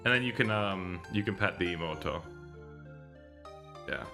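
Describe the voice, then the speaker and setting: A man's voice: low-pitched, moderate pace, vocal fry, over music. A man in his thirties hosting a live stream, chatting casually in a rambling, digressive way and often correcting himself. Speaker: live streamer hosting a visual novel readalong. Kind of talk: casual livestream chat.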